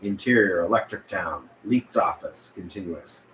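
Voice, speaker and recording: A man's voice: deep voice, measured pace, fairly bright tone. A man reading stage directions at a script table read, occasionally stumbling and correcting himself. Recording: echoey room with background noise.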